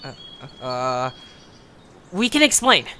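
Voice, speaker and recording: A woman's voice: rumbling low, slow pace, full character voice. Feisty, wildly swooping little creature voiced by a woman. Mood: nervous. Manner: excitable, combative. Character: small, feisty, defiant round blue Zoombini creature. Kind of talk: audio drama acting. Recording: noisy track with birdsong and clicks.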